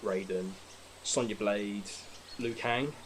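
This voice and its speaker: A man with a fairly low voice speaks as a podcast guest. He chats casually about films, with some noise audible in the recording. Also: takes it slowly. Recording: noticeable background noise.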